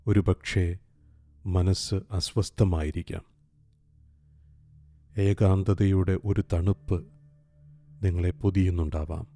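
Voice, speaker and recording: A man guiding a meditation in a calm, gentle, soothing way, leaving long pauses. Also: deep voice. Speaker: meditation guide. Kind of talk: guided meditation, slow soothing instruction. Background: soft meditation music with singing bowl.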